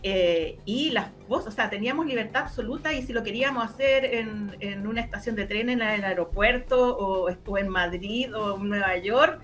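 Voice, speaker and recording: A woman's voice: mid-range voice, moderate pace, hardly any pauses, fairly bright tone, faint music behind. A woman with a Chilean accent giving casual interview answers, explaining eagerly and often repeating words as she searches for the next one. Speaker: interviewee, radio drama maker.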